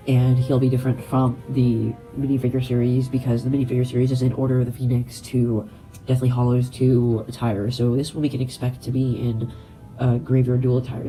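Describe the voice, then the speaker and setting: A man's voice: medium pitch, warm tone, rough recording. A young man with a congested, stuffy nose, rambling casually with many fillers and self-corrections. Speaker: LEGO video creator. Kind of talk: casual speculation vlog.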